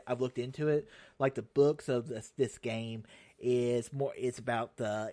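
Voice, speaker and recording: A young man talks through a video game on his own show in a loose, rambling way. He keeps restarting sentences and breaks into sudden excited bursts. His pitch sits in the middle range for a man. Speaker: show host. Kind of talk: casual solo video game chat.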